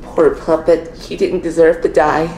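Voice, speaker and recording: A woman's voice: low voice, level tone, unhurried, warm tone, rough recording. A woman voicing a frightened young female animatronic chick in an amateur horror drama, breathless, melodramatic and pleading, with music behind her. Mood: anxious, grieving.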